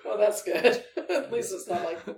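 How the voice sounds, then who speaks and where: A woman's voice: mid-range voice, animated, normal speed, darkish tone. A woman hosting a podcast, asking interview questions in a casual conversational style. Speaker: guest host, interviewer. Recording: distant, echoey room, faint noise.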